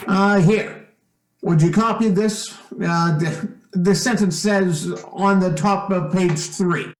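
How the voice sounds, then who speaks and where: An actor playing a shrewd, outwardly genial stepfather who probes with quiet menace in an old-time radio suspense drama. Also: high voice, reverberant. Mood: slyly suspicious. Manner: deliberate, probing, hesitant phrasing.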